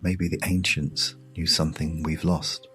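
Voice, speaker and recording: A British man narrating a guided sleep meditation story in a hushed, soothing, measured way with long pauses. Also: very deep voice, flat delivery. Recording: music behind the voice. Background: music.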